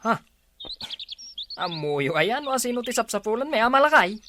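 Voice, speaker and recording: A man playing an excitable, clueless villager in a comedy drama. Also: very high voice, normal speed, heavily acted. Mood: bewildered. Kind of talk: comedy radio drama acting in Ilocano. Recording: faint noise with chirping birds.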